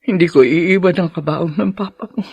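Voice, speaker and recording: A woman's voice: lowish voice, normal speed, darkish tone, slightly rough, no echo. A woman playing a grieving, stubborn middle-aged widowed mother in a Filipino radio drama, with a tearful, emotional delivery and a Filipino accent.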